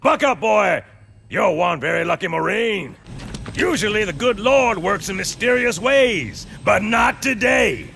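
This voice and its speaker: A gruff, swaggering American Marine sergeant from a game cinematic. His voice is gravelly and forceful, pitched high and swinging widely as he barks theatrical bravado. Faint vehicle noise sits behind him. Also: lively intonation, a little slow, full character voice. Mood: fiery motivational bluster. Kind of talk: video game cinematic acting.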